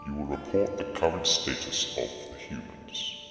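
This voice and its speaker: An ancient alien overlord, voiced by a man, speaks in a cold, imperious growl, extremely low and rough, with slow, deliberate commands. His voice swoops widely in pitch. Heavy echo and a music bed surround him in this audio drama. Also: very animated, a bit bright, heavily acted. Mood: commanding. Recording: echoey hall reverb with music.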